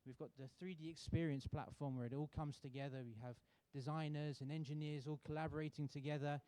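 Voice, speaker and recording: Relaxed British-born man, mellow voice. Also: fairly high pitch, no room sound, poor audio. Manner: relaxed, halting with many fillers and restarts. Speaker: sponsor representative. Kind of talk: welcome speech at a contest. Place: university auditorium.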